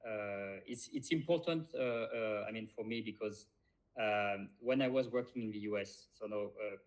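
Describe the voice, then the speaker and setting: Hesitant low-voiced man. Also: poor audio. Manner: hesitant, many fillers and restarts. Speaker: podcast co-host. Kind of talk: casual chat.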